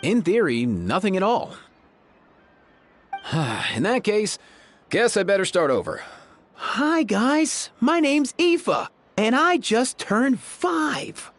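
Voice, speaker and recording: A man's voice: sits high, big pitch swings, takes it slowly, pauses often, heavily acted. An actor playing an exasperated, cheeky young man in a video game, with a sarcastic, slangy way of talking. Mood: resigned, then mock childish.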